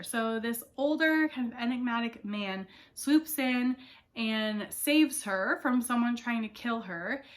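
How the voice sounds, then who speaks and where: A woman's voice: on the high side, fairly bright tone. A young woman book vlogger chatting casually to camera, her voice not fully back after an illness, rambling with fillers.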